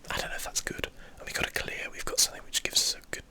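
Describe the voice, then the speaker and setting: A person whispering ASMR game commentary in a breathy voice, hosting and musing aloud over each move. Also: rapid-fire, sharp tone. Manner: musing aloud, thinking through moves. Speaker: host of a gaming stream.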